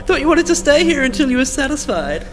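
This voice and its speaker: A man's voice: extremely high pitch, sing-song, quick pace. A man playing a cautious but teasing young survivor in an audio drama, speaking casually and protectively. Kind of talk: conversational audio drama acting.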